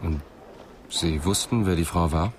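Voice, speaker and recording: An actor playing a sober, methodical police inspector in a radio crime drama, speaking in a measured, probing way. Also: male voice, low voice. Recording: clear background hum and vehicle noise.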